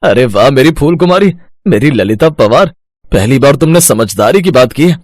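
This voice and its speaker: An actor playing a weary, henpecked village food vendor in a story cartoon, expressive and given to grumbling and pleading. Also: male voice, medium pitch, moderate pace, dry recording, close to an everyday voice. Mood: pleased. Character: weary, henpecked village chicken-lollipop street vendor husband. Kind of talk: animated moral-story voice acting.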